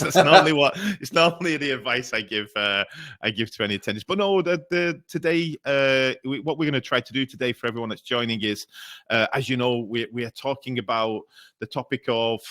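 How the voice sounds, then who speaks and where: Bright-voiced chatty webinar host man. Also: mid-range voice, fairly quick. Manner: chatty, many fillers and restarts. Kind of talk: hosting a webinar. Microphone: video call.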